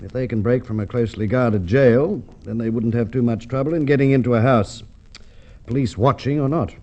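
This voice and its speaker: An actor playing a shrewd police inspector with an Australian accent, deliberate and courteous in the style of golden age radio drama. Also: male voice, medium pitch, a little mellow, a little hiss or hum, natural voice. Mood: courteous, probing. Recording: dull vintage radio drama recording.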